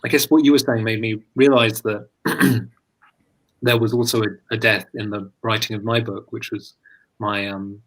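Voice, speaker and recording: Low-voiced, halting British man. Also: steady tone, a bit bright, low-quality recording. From London, England. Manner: halting, tentative phrasing. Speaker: guest poet. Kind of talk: casual thoughtful conversation. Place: live online video call.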